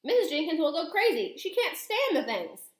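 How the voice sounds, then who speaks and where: Lady doing a angry english dad from a kids book, shouty n spluttering, goes way high, roomy sound. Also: sing-song, fairly quick. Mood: panicked.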